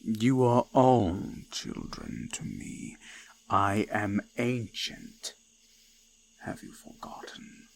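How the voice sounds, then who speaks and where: An ancient male god of the forest, looms in a low, slow voice over faint background ambience. He is menacing and seductive, commanding in archaic phrases and often sinking into gravelly whispers, as in a folk horror audio drama. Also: a little hiss or hum. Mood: menacing, condescending. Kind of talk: scripted roleplay audio, slow dramatic monologue.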